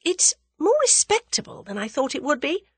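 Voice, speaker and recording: Woman acting an excitable, high-pitched young lady adventurer. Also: normal speed, breathy edge, no echo, full character voice. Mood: teasing, thoughtful. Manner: excitable, exclamatory, teasing. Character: lively, impulsive, sharp young woman adventurer.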